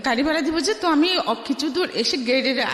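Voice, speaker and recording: A woman with a Bangladeshi Bengali accent tells her life story as a radio interview guest, haltingly, restarting phrases and dropping in English words. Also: sits high, fairly bright tone. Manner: halting, with repeated false starts and English words mixed in. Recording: echoey with long reverb.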